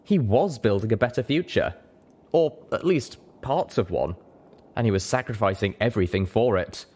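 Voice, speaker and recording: A man's voice: fairly low pitch, lively intonation, moderate pace, darkish tone. A man reading first-person fiction aloud as a dramatic story narrator. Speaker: story reader.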